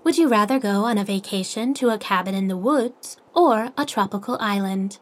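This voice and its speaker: A woman's voice: mid-range voice, moderate pace, close to an everyday voice. A woman playing a cheerful artificial intelligence matchmaking host in an audio drama, speaking in scripted, upbeat stock phrases. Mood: cheerful, polite.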